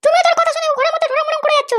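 A performer voicing a shrill, defiant young wife who screeches accusations in a comedy cartoon. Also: level tone, racing, a bit bright. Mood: accusing.

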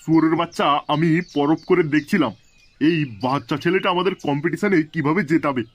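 Man voicing a blustering hot-tempered gang boss, fairly high-voiced. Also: fairly quick, natural voice. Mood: irritated, bossy. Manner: blustering, swearing, threatening. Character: foul-mouthed hot-tempered gang boss of a sound-system crew. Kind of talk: comedy cartoon dubbing.

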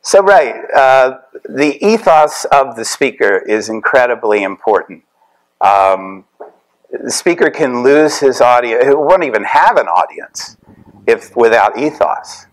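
A middle-aged man from Tennessee giving a conversational, animated lecture to a class from a podium in an indoor lecture room. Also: mid-range voice, a little slow, poor audio. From Tennessee, USA.

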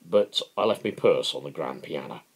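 A man's voice: very deep voice, animated, normal speed, vocal fry, husky, heavily acted. A man voicing an anxious, droll working man in a novel, apprehensive and colloquial in manner. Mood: regretful.

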